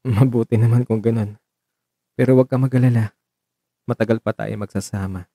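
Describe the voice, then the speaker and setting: A man's voice: medium pitch, fairly even intonation, a little fast, mellow, dry recording, clean studio sound, lightly acted. A man with a Filipino accent acting a devoted, protective young man in a radio drama, reassuring his lover with affectionate mock scolding.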